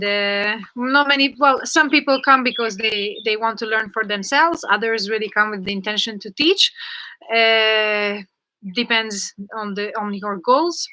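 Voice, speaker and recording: Bright upbeat Hungarian-accented woman. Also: mid-range voice, low-quality recording. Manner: upbeat, coaching, laughs often. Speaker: jump rope instructor. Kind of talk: live online class with Q&A.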